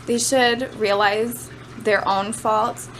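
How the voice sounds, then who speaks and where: A woman's voice: medium pitch, fairly even intonation, slow pace, a bit bright. Woman giving a speech, noisy recording. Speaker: student giving a class speech. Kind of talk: informal prepared speech on personal work experience. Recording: clearly noisy amateur camera recording.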